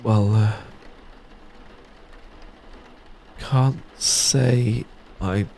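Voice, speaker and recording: A British man acting a nervous, smitten young man in a romantic roleplay, stammering and halting earnestly as he talks. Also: fairly low pitch, steady tone, creaky, a little mellow, a little hiss or hum, full character voice. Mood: sheepish. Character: nervous, smitten young man confessing to his best friend.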